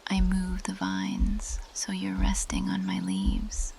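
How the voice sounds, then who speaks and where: A woman playing an ancient, possessive plant monster girl in an intimate ASMR roleplay, speaking in a breathy, lingering whisper. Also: fairly low pitch, very animated, slow pace, darkish tone, slight background noise, exaggerated voice. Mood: soft, tender.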